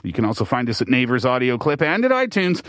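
A man's voice: mid-range voice, very animated, fast, fairly bright tone, dry recording. A man from the East Coast of Canada hosting a radio show from a studio, explaining steadily with the odd filler. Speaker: radio host. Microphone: studio mic.

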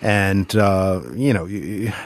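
A male host asks thoughtful, well-phrased questions in a measured way. His voice is on the low side and the studio sound is dry and close. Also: fairly even intonation, no echo. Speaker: interview host. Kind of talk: interview questions.

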